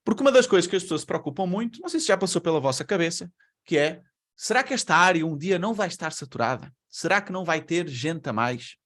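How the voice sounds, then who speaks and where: Man, European Portuguese accent, fairly high voice, webinar speaker, chatty and full of rhetorical questions, on a video call mic. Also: animated, quick pace, fairly bright tone. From Brazil. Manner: conversational, asks rhetorical questions. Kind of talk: presentation to an online audience.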